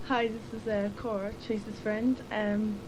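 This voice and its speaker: A woman's voice: medium pitch, little pitch movement, normal speed. A teenage girl from Cork with a Cork Irish accent, chatting and teasing her friend on a tape letter, giggling often. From Ireland. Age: late teens. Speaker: schoolgirl recording a tape letter. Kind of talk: casual chat recorded on a home cassette. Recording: hissy 1980s cassette tape.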